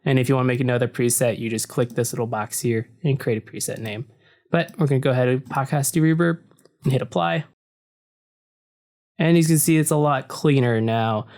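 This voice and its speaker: A deep-voiced man talks through an audio-editing walkthrough in an easygoing, conversational way. He records at his desk in an untreated home room. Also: brisk. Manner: relaxed and explanatory, with frequent "so" and "uh". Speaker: tutorial narrator. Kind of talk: casual screen-recording walkthrough. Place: home room without soundproofing. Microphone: desk mic facing the computer screen.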